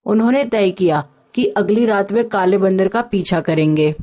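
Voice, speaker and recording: A woman's voice: mid-range voice, moderate pace, dark tone. A woman reading a scripted story as its narrator.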